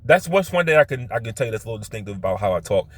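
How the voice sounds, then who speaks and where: Male vlogger, Philadelphia accent, lowish voice, leans hard on his O's, halting and repetitive personal storytelling. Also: very animated. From Philadelphia, United States. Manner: stresses his O vowels; halting, repetitive, circles back to his point.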